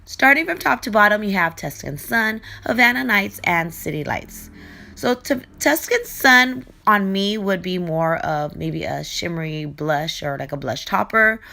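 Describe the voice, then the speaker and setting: Woman, hoarse from a cough, gravelly fry, chatty beauty unboxing vlogger. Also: medium pitch, animated, unhurried, sharp tone. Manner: chatty, fond, rambling product talk with fillers. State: hoarse, losing her voice from a cough. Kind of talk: casual unboxing chat.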